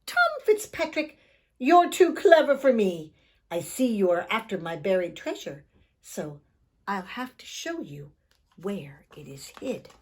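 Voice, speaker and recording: A woman's voice: mid-range voice, big pitch swings, measured pace, husky. A woman voicing a tiny old male leprechaun, crotchety and sly, with a scolding, folksy way of talking for a children's storybook. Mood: grudging, conceding. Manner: sharp, scolding, wheedling folksy phrasing.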